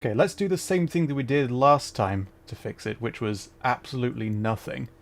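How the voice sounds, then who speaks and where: A man's voice: medium pitch, brisk, vocal fry. A man hosting a gameplay livestream with casual, chatty, self-deprecating commentary. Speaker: livestream host.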